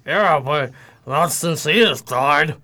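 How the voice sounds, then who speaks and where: A man's voice: a little high, expressive, slow pace, pushed and bright, full character voice. A man playing a blunt, hot-headed, upset husband in an amateur radio drama. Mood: indignant.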